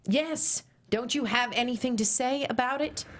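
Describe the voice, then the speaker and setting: A woman's voice: high voice, normal speed. An actress playing a bossy middle-aged mother at a table read, prim and scolding, swapping swear words for tame ones. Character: bossy middle-aged Midwestern mom, Sally.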